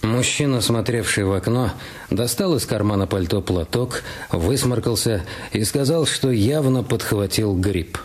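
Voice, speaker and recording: A man's voice: fairly low pitch, unhurried. A man reading a detective novel as narrator in a scripted radio production. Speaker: narrator of an audio drama. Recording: clear music under the voice. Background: background music.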